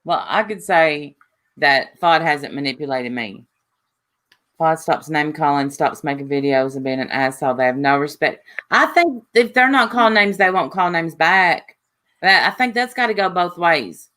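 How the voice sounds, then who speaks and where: A woman's voice: low-pitched, lively intonation, moderate pace, a bit bright. A woman hosting a live stream panel, talking bluntly and cutting in to argue.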